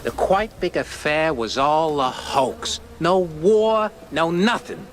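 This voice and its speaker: An actor playing a hapless, hesitant little mouse in a cartoon video game. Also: male voice, on the high side, lively intonation, takes it slowly, over music. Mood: smug. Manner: hesitant, backpedalling.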